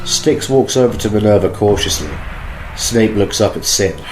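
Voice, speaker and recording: A man narrating an audio drama in a steady reading voice. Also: lowish voice, moderate pace, roomy sound, rough recording. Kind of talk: read-aloud story narration. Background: music.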